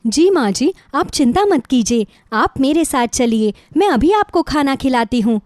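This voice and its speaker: A woman's voice: high voice, animated, measured pace, darkish tone, dry recording, studio quality. A woman voicing a kind, cheerful young daughter who sells food, speaking politely and eagerly in a moral story.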